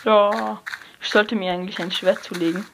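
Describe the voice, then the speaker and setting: A young person, likely a teen, giving hesitant gameplay commentary, often correcting themselves and dropping to a near whisper. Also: female voice, mid-range voice, fairly even intonation, moderate pace. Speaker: let's player. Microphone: phone screen recorder mic. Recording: noisy lo-fi phone recording.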